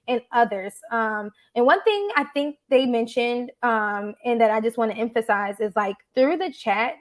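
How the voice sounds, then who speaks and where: A woman hosting a live online talk show, chatty and appreciative, with frequent fillers. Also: fairly high pitch. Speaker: host. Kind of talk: casual livestream chat.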